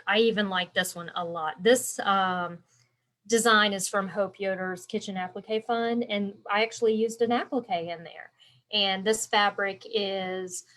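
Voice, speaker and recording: A woman with a congested, stuffy voice hosts a relaxed live stream as an embroidery instructor. She talks in a chatty, rambling way and answers viewers by name. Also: fairly high pitch.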